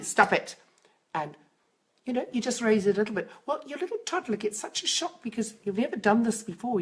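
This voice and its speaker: Croaky-voiced middle-aged woman coaching parents. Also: medium pitch. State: hoarse from a cold. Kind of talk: live-streamed talk to viewers.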